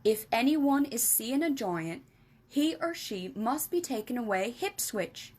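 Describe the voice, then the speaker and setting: A woman's voice: a little high, lively intonation, unhurried, a little mellow, pitched above the performer's own voice. A woman voicing a big friendly male giant for a children's storybook, exuberant and kindly, with mangled grammar and emphatic nonsense words. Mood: explaining. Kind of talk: animated children's storybook reading.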